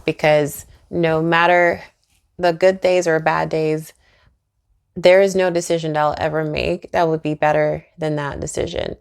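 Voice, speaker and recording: A woman's voice: sits low, gravelly. A woman vlogging to camera about her faith, speaking earnestly and encouragingly, with frequent small laughs. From Trinidad and Tobago. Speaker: YouTuber and author. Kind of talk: faith-based vlog talk to camera.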